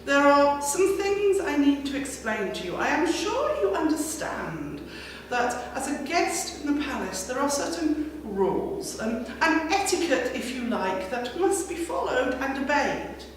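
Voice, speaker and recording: A woman voicing a stately, officious royal chamberlain in a folk tale, speaking formally and with deliberate emphasis. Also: sits high, big pitch swings, voice pushed up. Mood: formal welcome. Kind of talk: live folk-tale storytelling. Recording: very echoey hall, distant mic, faint noise.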